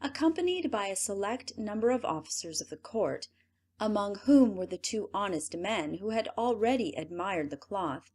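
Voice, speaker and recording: Lively woman narrating a storybook. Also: medium pitch, normal speed. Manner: expressive, lively storytelling read. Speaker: audiobook narrator.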